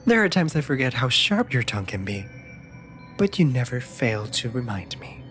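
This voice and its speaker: A man's voice: medium pitch, fairly bright tone. A man voicing a teasing, dramatic raven familiar in a scripted fantasy audio roleplay, with playful, sardonic and theatrically indignant delivery.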